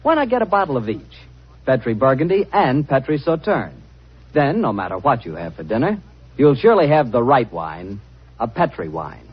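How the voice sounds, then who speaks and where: Male announcer, vintage sponsor-pitch delivery, very varied intonation, muffled old radio sound. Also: very animated, normal speed, husky. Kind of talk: 1940s radio sponsor pitch and host chat. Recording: muffled 1940s radio recording.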